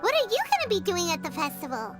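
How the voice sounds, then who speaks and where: Childlike game fairy, very high squeaky voice, animated and exclamatory, loud music underneath. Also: female voice, quick pace, exaggerated voice. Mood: curious. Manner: exclamatory, curious. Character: tiny excitable childlike floating fairy companion. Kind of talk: video game voice acting.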